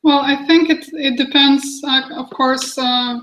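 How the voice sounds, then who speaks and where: A young woman with a high voice and little rise and fall. She talks casually, like a student sharing advice on a webinar panel. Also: fairly even intonation, unhurried, a bit bright, low-quality recording. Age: 20s. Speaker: international student speaker on a university webinar panel. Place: small office meeting room.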